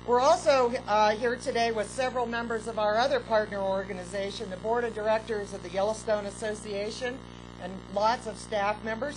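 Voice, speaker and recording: Woman host at an outdoor podium, formal and gracious, mid pitch, noisy open-air PA sound with hum. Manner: measured, gracious, with occasional fillers. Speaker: park superintendent giving ceremony welcome. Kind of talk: formal outdoor ceremony speech. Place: outdoors at a public ceremony. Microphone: podium microphone with PA.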